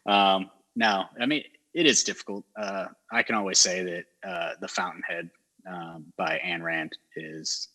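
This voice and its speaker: A man with a neutral American accent hosts a casual community chat, his voice low and a little husky. He talks in a loose, rambling way, full of fillers and restarts. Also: steady tone, a little slow, pushed and bright, poor audio. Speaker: mayor hosting a community talk show.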